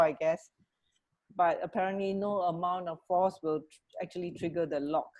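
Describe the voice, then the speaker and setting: A middle-aged woman chats casually as a radio co-host. Her voice is slightly on the low side, and she is speaking over a video call on an earphone headset. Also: a little mellow, low-quality recording. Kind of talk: casual chat. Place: indoor room, video call.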